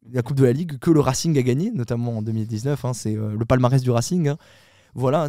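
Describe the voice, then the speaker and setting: A man of Italian heritage on a casual podcast, telling a story in an animated way with many restarts and fillers. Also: medium pitch, no room sound, clean studio sound. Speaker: podcast panelist.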